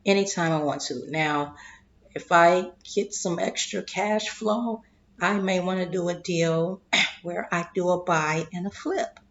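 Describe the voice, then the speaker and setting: A woman explaining investing in a slow, deliberate way, clearing her throat and losing her voice, often checking in with "okay?". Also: lowish voice, fairly even intonation, lo-fi sound. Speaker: investing vlogger. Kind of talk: casual explanatory monologue.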